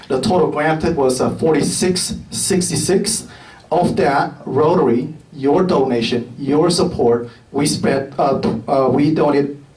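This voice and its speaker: A man presenting grants at a community meeting, halting and filled with ums and little chuckles. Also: a little high, a little mellow. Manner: halting, many fillers, chuckles between remarks. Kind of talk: casual presentation remarks. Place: meeting room with an audience. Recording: roomy hall from far off with crowd.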